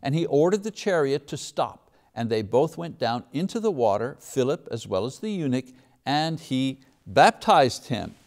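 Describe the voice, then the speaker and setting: A man giving a recorded Bible lesson, explaining the text in an unscripted way with fillers and restarts, reading scripture passages aloud and asking rhetorical questions. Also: fairly high pitch. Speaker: Bible teacher.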